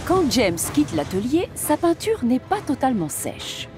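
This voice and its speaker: A woman's voice: high-pitched, very animated, measured pace. A woman voicing the storyteller of a children's train cartoon in scripted narration. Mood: storytelling. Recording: music behind the voice.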